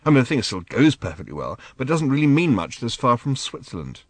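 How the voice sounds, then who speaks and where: A man's voice: medium pitch, very animated, fast talker, no room sound. A man reading an audiobook, voicing a mild, bewildered father who explains things hesitantly. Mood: explaining. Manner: hesitant, apologetic, gently explaining.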